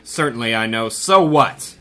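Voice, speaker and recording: Actor as a gruff commish, bright pushy voice. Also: male voice, mid-range voice, lively intonation, a little slow. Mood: indignant. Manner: gruff, indignant, blustering. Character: brash blustering male police commissioner. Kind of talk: 1930s radio drama reenactment.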